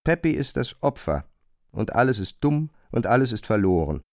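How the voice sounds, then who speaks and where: A man narrating a literary radio play, retelling a chambermaid's grievances in an expressive, emphatic way. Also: medium pitch, normal speed, no room sound, studio quality. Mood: engaged, aggrieved.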